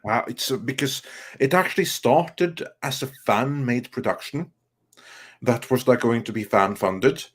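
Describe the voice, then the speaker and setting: A man co-hosting a live stream panel, reading out viewer messages and commenting, with a halting delivery that stretches some words. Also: mid-range voice.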